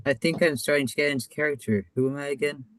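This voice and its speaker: A man's voice: a little high, quick pace, fairly bright tone, no room sound, close to an everyday voice. A man playing a socially awkward, forgetful young man with a hesitant, naive manner in an amateur radio comedy. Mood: bewildered. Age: older.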